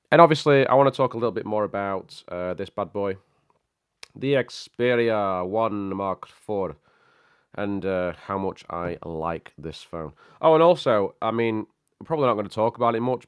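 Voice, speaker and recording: A man hosting a casual tech livestream, chatty and greeting viewers by name, his voice a little croaky from a cold. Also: on the low side, dry recording, studio quality. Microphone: studio microphone.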